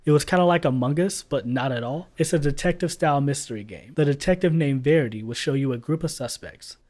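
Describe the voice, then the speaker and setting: A man's voice: on the high side, fast, a little mellow. A man giving casual voice-over commentary as a video reviewer, blunt and sarcastic, swearing freely. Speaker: YouTube reviewer.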